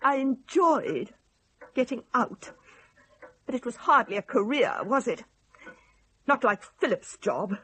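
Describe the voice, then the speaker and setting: A woman playing a resentful, long-suppressed middle-aged daughter in a radio drama, with a British accent, a husky voice and pointed, sarcastic questions. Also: a little high, very animated, takes it slowly, long pauses, pushed and bright, heavily acted, low-quality recording. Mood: hurt, reproachful.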